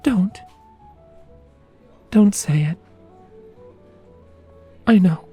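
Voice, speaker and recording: A woman acting as a female pony in an audio story, wistful yet blunt. Her voice is soft and husky, and she takes her words slowly and with feeling. Music plays behind her. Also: mid-range voice, heavily acted. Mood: wistful, tender. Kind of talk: dramatised fan fiction audio reading.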